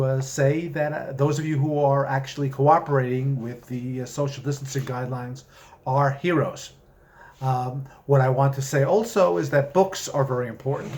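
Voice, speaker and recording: A man speaking as an author presenting and reading his own short story, with measured, deliberate phrasing. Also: medium pitch, darkish tone, a little hiss or hum. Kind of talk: story reading with spoken introduction.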